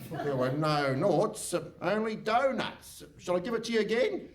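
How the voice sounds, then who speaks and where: An actor playing a dim, eager, literal-minded police constable in a comic radio play performed on stage. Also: male voice, sits high, big pitch swings, moderate pace, far from the mic. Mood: earnest, literal. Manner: bumbling, deferential, literal. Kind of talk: comic live radio theatre. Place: hall stage before live audience.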